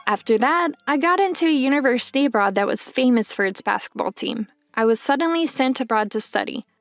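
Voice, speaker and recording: A tall young female basketball pro telling her own story in a manga dub. Her voice sits fairly high, that of a once-insecure girl looking back in first-person narration. Music plays softly behind her. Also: lively intonation, brisk, dry recording, clean studio sound. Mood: proud, settled.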